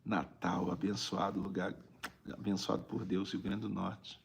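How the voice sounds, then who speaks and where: A Brazilian man with a raspy, gravelly voice, a preacher talking conversationally on a live stream and repeating phrases for emphasis. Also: deep voice, level tone, measured pace, a little hiss or hum. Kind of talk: informal livestream preaching, reading viewer greetings.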